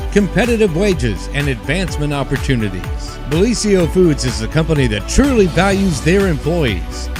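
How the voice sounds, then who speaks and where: Guy doing a peppy radio-style ad read, bouncy voice, music playing under it. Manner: upbeat, persuasive sales pitch. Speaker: commercial announcer. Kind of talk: scripted ad read. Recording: voice-over with music bed.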